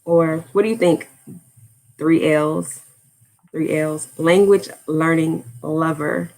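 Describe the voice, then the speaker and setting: A woman's voice: low voice, steady tone, slow pace, darkish tone, rough recording. An American woman chatting casually on a livestream, rambling and drifting off topic with lots of fillers. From United States. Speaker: language-learning YouTuber.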